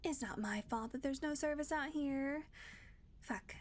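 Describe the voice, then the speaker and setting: A woman voicing a blunt, foul-mouthed female vampire in an audio roleplay, talking sarcastically and dropping into soft murmurs. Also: high-pitched, a little slow, a little hiss or hum. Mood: exasperated.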